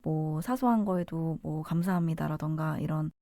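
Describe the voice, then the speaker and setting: A woman co-hosts a relaxed advice chat in a polite, measured manner. Her voice sits low and mellow. The recording is dry and close. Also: no echo. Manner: polite, measured, formal endings. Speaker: co-host.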